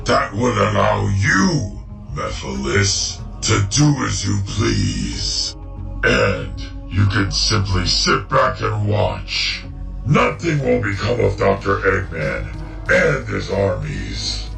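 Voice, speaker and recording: A man voicing a menacing, world-weary villain who relishes destruction, slow and ominous, in a comic dub. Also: big pitch swings, reverberant, far from the mic, music in the background, exaggerated voice. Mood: gloating. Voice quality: gravelly, low. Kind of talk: fan comic dub acting.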